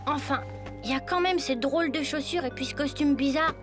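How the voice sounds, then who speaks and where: Cartoon boy hero, woman actor: high-pitched, boyish, quick-witted, expressive, music behind. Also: lightly acted. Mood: puzzled, resigned. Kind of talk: French cartoon dubbing.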